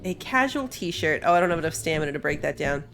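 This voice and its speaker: A woman's voice: sits low, expressive, fast talker, gravelly, a bit bright, a little hiss or hum. A woman giving casual gameplay commentary as she plays a video game. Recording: game music behind the voice.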